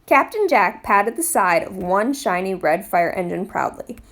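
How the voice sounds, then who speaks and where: A woman reading a children's picture book aloud in an expressive storytime style. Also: medium pitch, a little slow. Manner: expressive read-aloud delivery. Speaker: storytime reader, literacy program manager.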